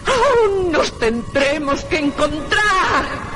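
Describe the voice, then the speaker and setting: A woman playing a ghostly, lovelorn duchess in a horror radio drama, with a sweet voice and drawn-out, pleading delivery. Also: high-pitched, lively intonation, full character voice, poor audio. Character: ghostly duchess, sweet, longing, amorous seductress. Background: music.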